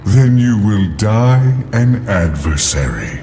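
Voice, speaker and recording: Deep, echoing galactic tyrant. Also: male voice, sing-song, heavily acted. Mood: cold menace. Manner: menacing, pompous, deliberate. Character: contemptuous galaxy-conquering villain, huge father tyrant. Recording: long reverb under loud ominous music.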